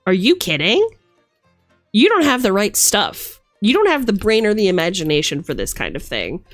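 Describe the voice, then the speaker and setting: A woman streamer talks straight into a close, dry microphone with music playing underneath. Her pitch sits in the middle for a woman. She rants casually and emphatically, with theatrical exasperation and plenty of swearing. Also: big pitch swings, moderate pace, no echo. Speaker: streamer and tabletop game master. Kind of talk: casual opinionated rant to stream viewers.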